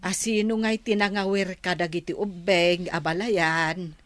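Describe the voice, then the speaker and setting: A woman's voice: lowish voice, fairly even intonation, a little slow, dry recording, lightly acted. A woman with an Ilocano accent acting a nosy, concerned older mother-in-law in a radio comedy. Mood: inquisitive, concerned.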